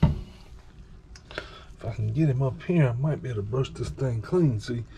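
A man's voice: mid-range voice, a little slow, creaky, warm tone. A man giving casual, rambling commentary as he washes his dog at a kitchen sink, talking now and then to the dog. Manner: rambling, folksy, talks to the dog. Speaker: vlogger washing his dog. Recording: running water noise behind.

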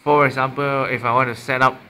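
A Malaysian man talks casually through a gadget demonstration in a medium-pitched, bright voice. He speaks haltingly, repeating words and correcting himself as he goes. The sound is amateur handheld camera audio from a small room, with slight background noise. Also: little pitch movement. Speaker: amateur product reviewer. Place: small room indoors at a desk. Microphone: built-in mic of a handheld camera.

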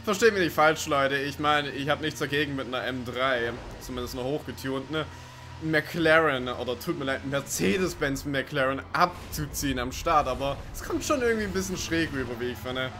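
A man's voice: medium pitch, moderate pace, bright, forceful tone, a little hiss or hum. A man giving casual, sarcastic let's play commentary with chuckling asides. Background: game music and car sounds.

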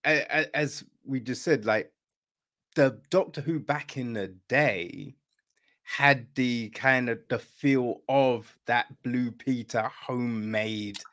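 A mature man hosts a casual interview over a video call. He talks at a slow, unhurried pace in a medium-pitched voice, putting his questions conversationally. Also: a bit bright. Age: middle-aged or older. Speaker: podcast host. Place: room at home, on a video call.

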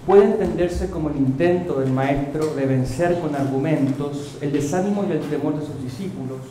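A man, reading a prepared academic paper in a measured way, on a table mic in a seminar room. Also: on the high side, warm tone. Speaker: conference speaker. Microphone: table gooseneck mic. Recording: echoey room with faint noise.

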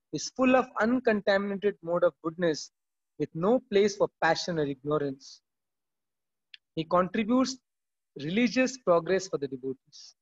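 A man, reading verses aloud and then explaining them with animated emphasis in a scripture class held over a video call. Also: high-pitched. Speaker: class speaker. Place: indoor room at home. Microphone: video call, laptop or phone camera. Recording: dull lo-fi video call audio.

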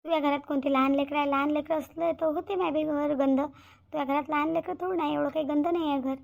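Woman as a high-voiced, chatty village neighbour. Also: fairly even intonation, fast, gravelly, a little mellow. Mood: reassuring. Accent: Varhadi Marathi. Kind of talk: cartoon voice acting.

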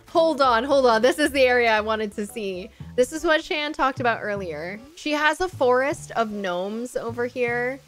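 A young woman giving casual livestream commentary on a game, chatty, gushing and easily delighted, close on a microphone. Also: fairly high pitch. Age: young adult. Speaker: streamer hosting a game island tour. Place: indoor streaming setup. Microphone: close microphone on boom arm. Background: soft game music.

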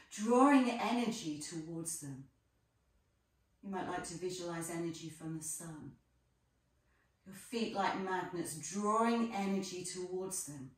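Female yoga instructor for guided relaxation: low-ish, very unhurried delivery, gentle phrasing. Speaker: yoga teacher. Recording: echoey room, distant microphone.

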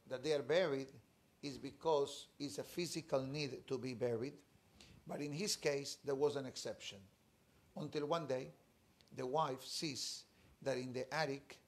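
A man in his forties, a rabbi, telling a story in a Torah class in an emphatic, animated way with pauses. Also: mid-range voice. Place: study room with bookshelves, indoors. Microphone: fixed camera, seated at desk.

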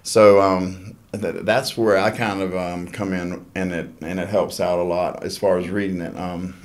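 A man's voice: low voice, little pitch movement, normal speed. A man in his thirties, a technician guest, talking casually with many ums and restarts, at a desk mic in a small office. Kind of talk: casual interview talk. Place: small office studio, indoors. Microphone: desk podcast mic.

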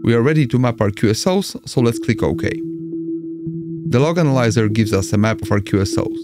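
A man's voice: mid-range voice, animated, fairly quick. A man from Poland with a Polish accent, narrating a step-by-step amateur radio screen tutorial as its host. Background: music.

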